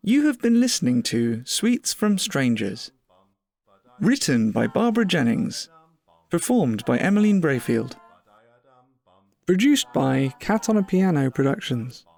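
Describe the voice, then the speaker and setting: A man's voice: a little high, sing-song, a little slow, vocal fry, darkish tone. A man reading scripted end credits as a podcast announcer.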